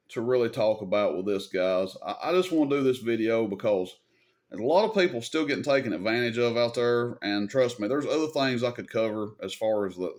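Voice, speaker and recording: A man's voice: lowish voice, a little mellow. A man with an American accent gives unscripted advice and tells stories to his viewers in a folksy, plain-spoken, mildly salty way. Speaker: video host and CB radio seller.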